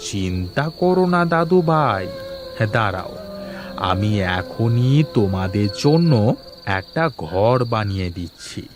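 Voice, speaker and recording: A man's voice: on the low side, sing-song, slow. A man voicing a kindly, grandfatherly old magical beggar who reassures and protects in a fairy-tale cartoon. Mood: comforting. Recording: loud music behind the voice.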